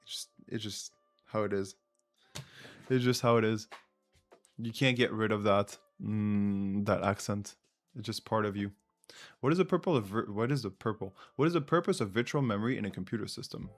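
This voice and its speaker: A man's voice: on the low side, moderate pace, soft background music. A man with a French accent, French his first language, hosting a casual live quiz and thinking aloud while chatting with viewers. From France. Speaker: livestream host.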